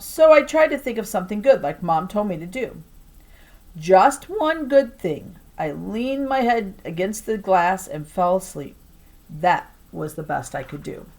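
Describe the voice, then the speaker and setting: A woman reads a children's novel aloud in the way a teacher does for a class. Her delivery is expressive and deliberate, with clear emphasis on key words. Her voice sits at a medium pitch.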